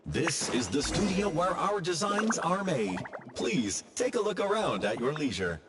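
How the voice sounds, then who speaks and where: Sing-song mechanical tour guide. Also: male voice, fairly high pitch, sing-song, unhurried. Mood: courteous. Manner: formal, courteous, scripted announcement. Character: polite mechanical factory tour guide, a recorded machine. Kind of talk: video game voice acting. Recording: clear noisy ambience behind.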